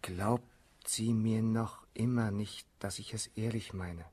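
An actor in a German radio drama playing an amorous young baron, scornful and coaxing, with a husky voice. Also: male voice, lowish voice, fairly even intonation, slow pace, a little mellow. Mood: soft, intimate.

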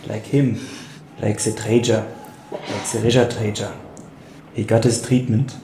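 A man acts out an ominous asylum inmate in a horror game mod, with a halting, repetitive delivery. He speaks slowly at a middling pitch, in an echoey, noisy recording. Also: little pitch movement, close to an everyday voice. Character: injured, creepy German-accented executive asylum inmate, slow and ominous. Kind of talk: amateur game mod voice acting.

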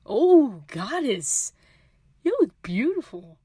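A woman's voice: sits high, big pitch swings, slow pace, mellow, husky, dry recording, studio quality, full character voice. A woman playing a wry, loyal and reassuring palace guard in a fantasy audio drama, easygoing and joking. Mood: awed.

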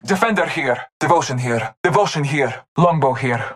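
A man's voice: medium pitch, expressive, normal speed, fairly bright tone, lightly acted. A man voicing a solemn, devout hunter warrior in a video game, speaking in formal, ceremonial phrases. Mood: terse, alert. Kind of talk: video game character callouts.

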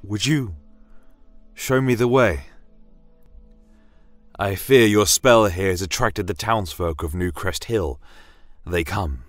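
A man playing a conflicted church inquisitor, courteous, measured and questioning, in a fantasy audio story. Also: sits low, no echo, clean studio sound, faint music behind. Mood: courteous, wary.

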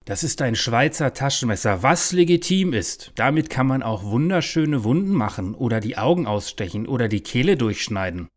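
Man acting as paranoid grown son, agitated, threatening, middle pitch, overblown parody audio drama. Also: lively intonation, moderate pace, hardly any pauses, close to an everyday voice. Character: paranoid, menacing adult son, conspiracy-obsessed video maker. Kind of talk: parody detective audio play.

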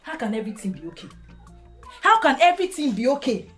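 A woman's voice: sits high, sing-song, fast talker, soft background music. An actress with a Nigerian Pidgin accent playing a blunt, hot-tempered young woman friend. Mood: indignant. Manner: blunt, dramatic.

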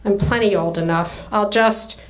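A woman's voice: medium pitch, normal speed, natural voice. Woman narrator as young daughter, novel character, restless, pleading, defiant, pressing questions.

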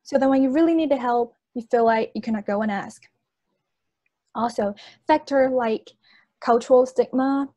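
Higher-voiced young Chinese woman giving a speech. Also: dark tone, no room sound. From China. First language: Chinese (Hainanese and Mandarin). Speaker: student speech competitor. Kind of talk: persuasive oratory speech. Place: indoors on a video call.

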